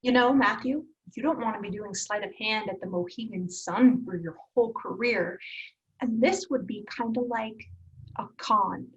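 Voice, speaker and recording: A woman's voice: medium pitch, moderate pace, natural voice. An actress playing a bossy, sardonic young woman leading her friends, in a dry screenplay table-read delivery. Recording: slightly dull remote call audio.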